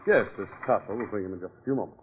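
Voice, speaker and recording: Actor as a brisk, low-voiced family doctor. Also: male voice, close to an everyday voice. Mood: polite, guarded. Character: polite, composed, evasive male family doctor. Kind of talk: 1940s radio acting. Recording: tinny, hissy old radio recording.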